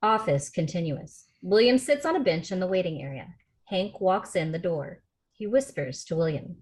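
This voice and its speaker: Lady reading stage directions on a video call, lowish voice, steady and plain. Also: moderate pace. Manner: steady, matter-of-fact reading. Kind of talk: table read narration of a script.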